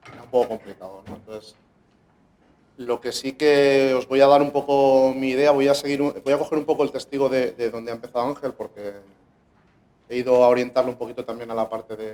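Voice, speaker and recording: Middle-aged Spanish man presenting at a conference, relaxed and hesitant. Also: mid-range voice, a little fast. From Valencia, Spain. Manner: casual, hesitant with many fillers. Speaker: conference speaker. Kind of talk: conference talk.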